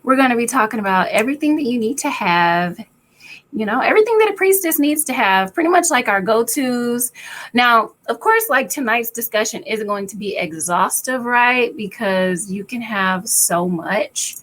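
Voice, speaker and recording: A woman with a raspy voice co-hosting a casual live spiritual chat, relaxed and chatty. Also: a little high, normal speed. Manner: relaxed, chatty, often laughing.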